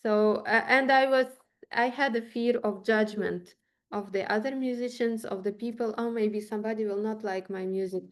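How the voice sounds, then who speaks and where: A woman from Ukraine speaks as an interview guest, in a fairly high voice with a Ukrainian accent. She talks casually and a little haltingly, feeling for the right words. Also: steady tone. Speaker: interview guest, musician and choir teacher.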